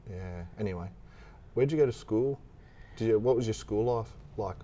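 Deep, mellow man in his forties. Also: normal speed. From Australia. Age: 40s. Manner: curious, encouraging questioner. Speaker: podcast host. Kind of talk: casual interview.

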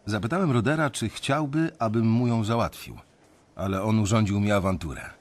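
A man's voice: lowish voice, a little fast, darkish tone, lightly acted. An actor playing a resentful young investment banker who tells his story bitterly in a radio drama. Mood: bitter recollection.

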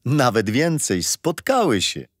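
An actor playing a patient, gentle grandfather telling children a history story in a radio play. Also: mid-range voice, huge pitch swings, slow pace, no room sound, studio quality, exaggerated voice. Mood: reassuring. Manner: gentle, didactic.

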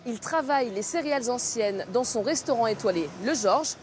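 A woman's voice: fairly high pitch, expressive, brisk, a little hiss or hum. A woman, presenting a regional TV magazine as a reporter.

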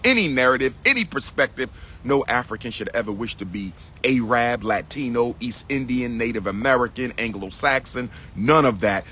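A man's voice: medium pitch, a bit bright, slight background noise. A middle-aged man giving an impassioned, preachy monologue into a phone held at arm's length in an outdoor park. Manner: preachy, emphatic, repetitive, rising to declamation. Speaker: lecturer addressing followers. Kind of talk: impassioned selfie video monologue.

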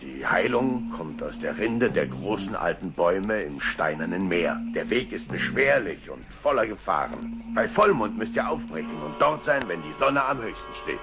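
A man playing a gruff, wise old grandfather mountain sheep in a children's radio play. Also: deep voice, very animated, normal speed, few pauses, music in the background. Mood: grave warning.

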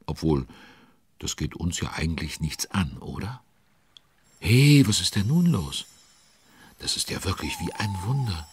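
A man's voice: low voice, big pitch swings, measured pace, long pauses, husky, exaggerated voice. A man playing a chatty, nosy storyteller, narrating a children's audio drama and speaking directly to the listeners. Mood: exasperated, curious. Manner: conversational, addresses the listeners.

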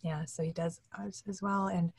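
A woman's voice: on the low side, fairly even intonation, moderate pace, gravelly, a little mellow, breathy edge, no room sound. A middle-aged woman explaining things in a casual, conversational way as an interview guest. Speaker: interview guest, audio drama producer. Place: indoor room, seated on a leather couch.

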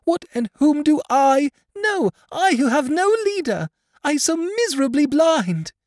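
A man's voice: very high-pitched, very animated, unhurried, no room sound, clean studio sound, pitched above the performer's own voice, heavily acted. A man voicing a young blind woman who pleads in a raised, strained voice. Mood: anguished, ecstatic.

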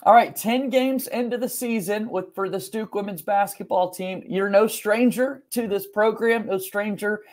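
A man's voice: high-pitched, moderate pace, hardly any pauses, darkish tone. A young man hosting a sports podcast, speaking from a small room at home. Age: young adult. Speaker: podcast host.

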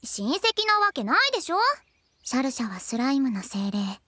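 A deadpan slime spirit girl. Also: sits high, moderate pace, dry recording, full character voice. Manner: flat, matter-of-fact. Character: quiet, serious little female slime spirit. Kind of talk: anime voice drama. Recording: music under the voice.

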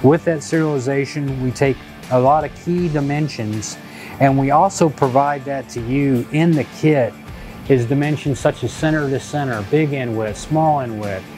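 A man in his fifties, a company director, explaining a product in technical detail with fillers and restarts, in an indoor lab. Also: mid-range voice. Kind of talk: corporate product video talk. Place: indoor inspection lab with machines. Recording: faint music behind.

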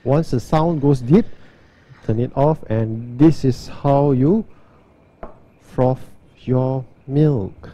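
A man, giving a steady, step-by-step walkthrough and demo of an espresso machine. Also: medium pitch, extremely slow, long pauses. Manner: steady, step-by-step instructional delivery. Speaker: barista demonstrating an espresso machine.

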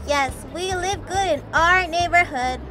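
A woman's voice: very high voice, unhurried, over music. A woman playing a confident, know-it-all young Jamaican girl who explains things like a little teacher in a children's puppet show. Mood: reassuring.

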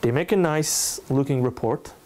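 A man in his thirties with an Israeli accent, lecturing in halting but emphatic non-native English. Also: medium pitch, a little mellow. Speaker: lecturer, NGO researcher. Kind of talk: public lecture with slides. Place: indoor salon room, speaking to a small audience.

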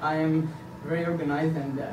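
A man's voice: on the high side, flat delivery, brisk. A man in his thirties from Egypt, giving an earnest campaign speech at a podium, with frequent hesitations and fillers. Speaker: board candidate. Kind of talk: campaign presentation. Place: meeting room indoors, at a podium. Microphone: far camera. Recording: echoey room from a distance, background hiss.

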